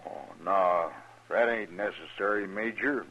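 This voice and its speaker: A man's voice: deep voice, a little slow, pushed and bright, full character voice. A man playing a good-natured frontier townsman in a radio western, with plain, deferential country speech. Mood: modest. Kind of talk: 1950s western radio drama acting. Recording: dull old radio recording.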